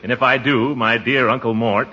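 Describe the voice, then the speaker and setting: An actor playing a pompous, exasperated middle-aged uncle in a 1940s radio comedy, blustering and scolding theatrically. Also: male voice, mid-range voice, steady tone, moderate pace, bright, forceful tone, slightly rough. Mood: gleefully stern. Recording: thin muffled 1940s radio recording.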